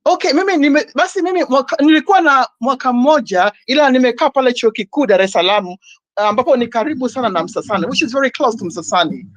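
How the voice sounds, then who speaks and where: A woman's voice: high voice, expressive, quick pace, no room sound. A woman from Tanzania, joining a live talk show as a caller. She speaks politely and hesitantly and repeats her words. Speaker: call-in participant. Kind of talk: casual call-in talk.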